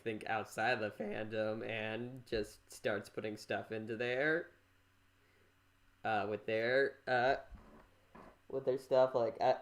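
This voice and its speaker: An American man from Minnesota hosting a casual podcast interview, rambling and halting as he repeats himself. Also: lowish voice. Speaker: podcast host.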